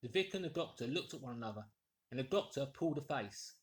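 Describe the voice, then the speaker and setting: A man narrating a classic novel as an expressive scripted reading. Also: mid-range voice, fast. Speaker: audiobook narrator, actor.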